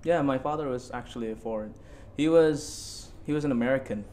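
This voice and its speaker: A man's voice: medium pitch, a little fast, a little mellow. A young man in his late teens from Bali giving casual interview answers as a student guest, hesitant and repeating himself. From Bali, Indonesia.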